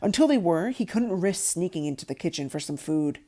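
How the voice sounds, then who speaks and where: A woman narrating a children's novel aloud in an expressive storybook style. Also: lowish voice, brisk. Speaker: audiobook narrator.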